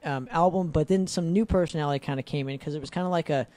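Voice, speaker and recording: Mellow, higher-voiced man hosting a podcast. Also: fast, a little hiss or hum. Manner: hesitant, many "um"s, recaps before asking. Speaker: podcast host. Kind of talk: interview questions. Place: small studio room. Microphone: desk mic with headphones.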